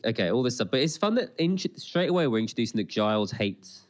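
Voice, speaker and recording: A man chatting casually as a podcast co-host commenting on a TV episode, in an excitable, rambling, jokey way. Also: mid-range voice, quick pace.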